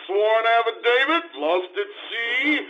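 An actor playing a cranky, easily flustered judge in a stage radio comedy, querulous, blustering and impatient. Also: male voice, very high voice, takes it slowly, gravelly, exaggerated voice. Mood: irritable. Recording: dull lo-fi live stage recording, faint noise.

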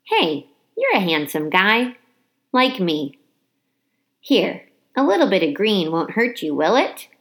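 Woman as a jolly, animated night janitor. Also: mid-range voice, takes it slowly, pauses often, exaggerated voice. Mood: warm, playful. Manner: chatty, exclamatory, jovial. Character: friendly, jolly male night janitor, chatty and playful.

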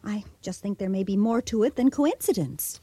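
An actress playing an earnest, anxious wife with a hesitant, expressive manner in a radio drama. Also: female voice, medium pitch, normal speed, breathy edge, no echo, close to an everyday voice.

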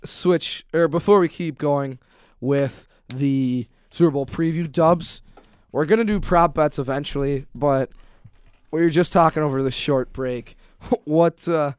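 A man's voice: on the high side, measured pace, a little mellow, clean tone, dry recording. A young man co-hosting a sports radio show, bantering casually into a close studio mic.

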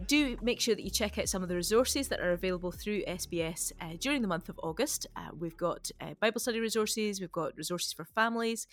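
A woman hosting a conversational podcast discussion. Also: on the low side. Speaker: podcast host.

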